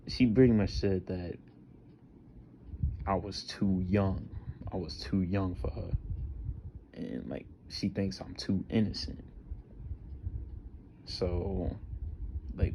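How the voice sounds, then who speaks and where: A man's voice: low voice, mellow, lo-fi sound, a little hiss or hum. A man in his twenties hosting a solo podcast, talking earnestly with slang, repeated phrases and many fillers. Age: 20s. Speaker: podcast host.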